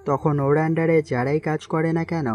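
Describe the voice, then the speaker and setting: A man playing a calm, calculating mafia king who gives measured orders in an audio story. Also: on the high side, close to an everyday voice. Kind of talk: amateur Bengali audio-story acting.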